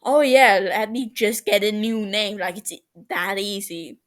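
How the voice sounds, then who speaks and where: A woman voicing a brash, teasing young man in a story, loud, sarcastic and emphatic, with a defensive streak. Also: mid-range voice, measured pace, natural voice.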